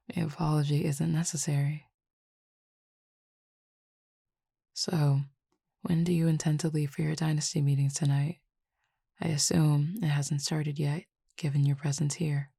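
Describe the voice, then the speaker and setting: A woman playing a calm, teasing Cupid roommate with formal, measured speech in an intimate roleplay audio drama. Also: low voice, creaky, slightly rough, dry recording, studio quality, heavily acted. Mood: calm, curious.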